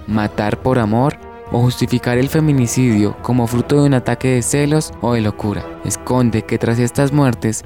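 A man's voice: mid-range voice, moderate pace, dark tone. A man with a Colombian accent reading a scripted, informative radio narration. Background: faint music.

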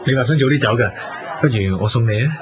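A man acting a possessive, smooth-talking young businessman who politely needles others in a Cantonese radio drama, with a Hong Kong accent. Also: mid-range voice, fast talker, crowd noise, close to an everyday voice. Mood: pressing. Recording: muffled radio recording, noisy crowded venue ambience.

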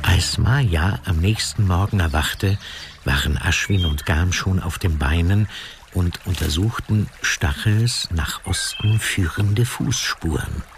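A man's voice: deep voice, slow pace, creaky, background noise, exaggerated voice. A man narrating a fantasy audio drama in a scripted storytelling style. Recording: trickling water ambience behind.

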